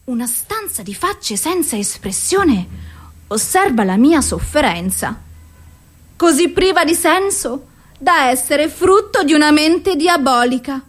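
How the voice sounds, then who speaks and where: An actress playing a despairing, suicidal and self-loathing woman in an anguished, deliberate theatrical radio monologue. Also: sits high, sing-song. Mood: bitter despair. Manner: slow, deliberate, anguished delivery.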